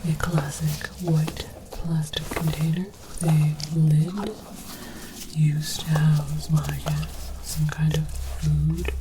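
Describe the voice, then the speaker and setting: Slow, whispering woman playing alien scholar. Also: low-pitched, level tone, very noisy. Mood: mock-scholarly. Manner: deliberate, theatrical, mock-scholarly. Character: alien archaeologist researcher, scholarly and condescending about humans. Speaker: host of an ASMR series. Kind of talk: whispered ASMR scripted narration.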